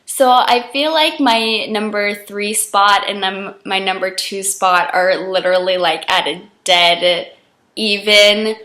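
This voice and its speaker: A young woman with a cold, her voice froggy and hoarse, chatting casually to camera as a book vlogger and gushing about her favorites. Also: slow, bright, forceful tone. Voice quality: froggy, deeper than usual. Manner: gushing, enthusiastic.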